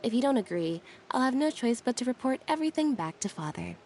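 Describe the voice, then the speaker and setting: A woman voicing a cool, blunt young sister in a video game, with a terse, deadpan delivery. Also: a little high, quick pace, a little hiss or hum. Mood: coolly firm.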